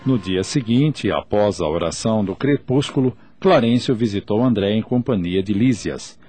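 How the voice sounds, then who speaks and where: A man's voice: fairly low pitch, expressive, moderate pace. A man with a Brazilian accent, announcing and narrating a scripted radio drama. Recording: loud music behind the voice.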